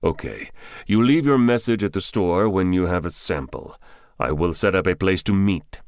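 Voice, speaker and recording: A cold armed man from an audiobook thriller, voiced by a male narrator, speaks very low and close in a dry space. His manner is curt and deliberate, full of threat. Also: darkish tone, clean tone, no echo, natural voice. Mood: firm, controlled. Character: cold, menacing armed man posing as drug buyer. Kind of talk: audiobook character dialogue.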